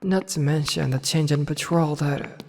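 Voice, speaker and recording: Woman playing a low-voiced imperious evil empress. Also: steady tone, darkish tone. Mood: candid, approving. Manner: haughty, formal, measured wording. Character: imperious evil empress, adult woman, softening toward her advisor. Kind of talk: ASMR fantasy audio roleplay, intimate scripted acting. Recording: long reverberant hall, faint noise.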